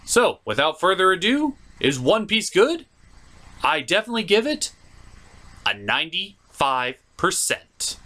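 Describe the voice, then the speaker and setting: A man's voice: high-pitched, sing-song, a little slow, sharp tone. A man delivering comedic scripted review commentary as host, in a theatrical, opinionated manner.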